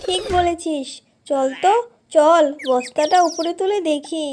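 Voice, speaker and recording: Scheming young fox wife for a cartoon, played by a woman: tiny high voice, bossy and sing-song, noisy track. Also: a little slow, a little mellow. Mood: excited. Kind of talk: Bengali cartoon voice acting.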